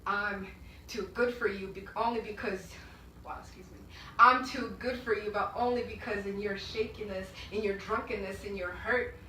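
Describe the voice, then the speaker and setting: A woman's voice: mid-range voice, steady tone, normal speed. A young woman from Rwanda performs spoken-word poetry live, rhythmic and emphatic, with sudden swells in volume. Her voice sits in a middle register and is picked up from across an echoing gallery room, with a faint hiss of room noise behind it. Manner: emphatic, rhythmic delivery with sudden loud bursts. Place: small indoor art gallery. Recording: echoey gallery room, mic far off.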